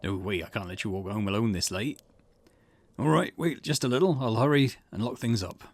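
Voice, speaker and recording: A man voicing a gruff but caring school janitor in a visual novel game, with a folksy, paternal, grumbling manner. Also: on the low side, a little fast, pitched below the performer's own voice.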